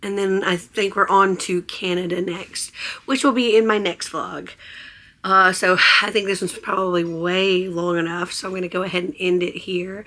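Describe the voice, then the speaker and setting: A hoarse woman who has nearly lost her voice, chatting in a casual, gushing vlog aboard a cruise ship. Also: fairly low pitch, steady tone, normal speed, sharp tone. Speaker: vlogger. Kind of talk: casual travel vlog chat.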